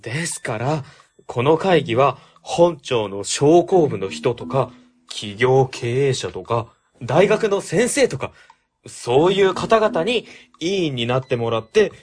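Man acting an animated, harried young official. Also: mid-range voice, slow pace, slightly rough, full character voice. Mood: exasperated, pleading. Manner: polite, exasperated protesting. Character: earnest, harried young male civil servant. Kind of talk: web radio comedy drama acting.

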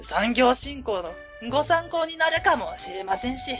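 A woman's voice: high voice, very animated, moderate pace, fairly bright tone, faint music behind. A woman playing a cheerful, welcoming small-factory boss, friendly and polite, in a radio drama.

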